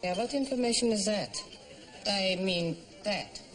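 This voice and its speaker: An actor playing a bumbling undercover German spy with a German accent in a television sitcom, evasive and jokey. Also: male voice, high voice, measured pace, background noise. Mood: nosy, evasive.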